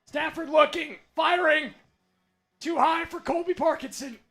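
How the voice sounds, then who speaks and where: Hoarse, screaming fan play-by-play man. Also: very high voice, measured pace, faint music behind. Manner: yells the big plays, otherwise talks in a strained, hushed voice. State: losing his voice from screaming. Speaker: watch party play-by-play host. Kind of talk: excited fan play-by-play on a livestream.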